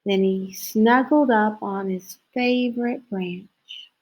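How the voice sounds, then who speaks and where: A woman reading a children's story aloud in an expressive, storytelling manner with drawn-out phrases. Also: medium pitch, low-quality recording. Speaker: storybook reader.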